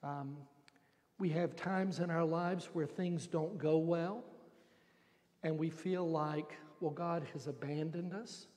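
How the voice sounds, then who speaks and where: An older man, a pastor, giving a gentle, folksy children's sermon from the front of a church sanctuary on a handheld mic. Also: fairly high pitch, fairly even intonation, slow pace. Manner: gentle, folksy storytelling with homely examples. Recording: long church reverb.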